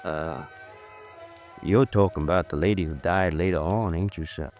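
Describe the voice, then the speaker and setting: An actor playing a polite, hesitant hotel bartender in an old-time radio drama, folksy and halting in speech. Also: male voice, low voice, darkish tone, soft background music, lightly acted.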